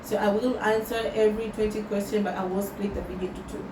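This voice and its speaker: A woman's voice: mid-range voice, fairly even intonation. Reflective young woman, distant roomy mic. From Tanzania. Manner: slow and thoughtful, mixing in Swahili words. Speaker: vlogger. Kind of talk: reflective personal vlog, answering questions. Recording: roomy sound from a distant mic with loud background noise.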